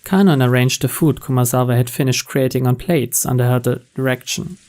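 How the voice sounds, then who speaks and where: A man's voice: medium pitch, a little fast, a little mellow. A man reading a visual novel's story text aloud as scripted narration. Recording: music and rain behind.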